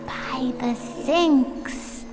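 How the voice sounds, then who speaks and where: A woman's voice: high voice, music in the background. A woman voicing the mournful ghost of a schoolgirl in a video game, drawing out her words. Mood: mournful, eerie. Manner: slow, drawn-out delivery. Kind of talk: video game cutscene acting.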